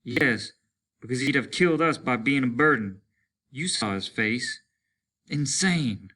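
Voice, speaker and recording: A man's voice: medium pitch, expressive, measured pace, pushed and bright. A man reading a story aloud, voicing a hardened, worn-out, fatalistic soldier who talks bluntly and bitterly. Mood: cold, menacing. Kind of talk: dramatic storybook character reading.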